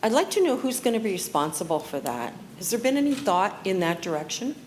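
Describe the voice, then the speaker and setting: A woman's voice: medium pitch, very animated, fairly quick, a little hiss or hum. A woman from the audience speaking for affected families, asking an earnest, direct question. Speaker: audience member, family representative. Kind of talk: press conference question.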